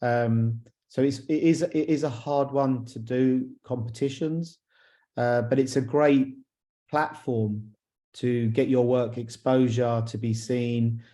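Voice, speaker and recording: A man's voice: mid-range voice, fairly even intonation, takes it slowly, dark tone. A man acting as interviewer, informally hosting an online conversation in a tentative, conversational way. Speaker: interviewer, awards chair. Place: video call.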